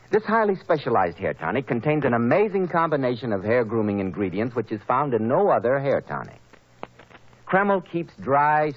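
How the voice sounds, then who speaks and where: A man's voice: medium pitch, poor audio. A man working as a 1940s radio announcer, delivering scripted sponsor copy with polished, emphatic salesmanship.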